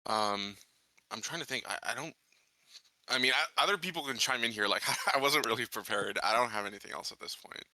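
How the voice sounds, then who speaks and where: A man's voice: medium pitch, brisk, sharp tone, no echo, rough recording. A man playing a tabletop roleplaying game, improvising questions in character and chatting with the table.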